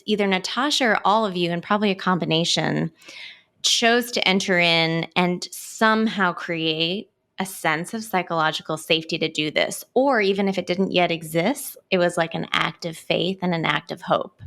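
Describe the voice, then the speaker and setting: Woman, podcast host, slightly low voice, measured, thoughtful questions. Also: gravelly, fairly bright tone. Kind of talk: interviewing guests.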